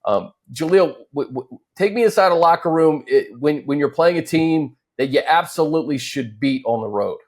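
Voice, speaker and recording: A man hosting a conversational football show, talking in halting, choppy phrases. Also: fairly high pitch, expressive, darkish tone. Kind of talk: conversational sports talk.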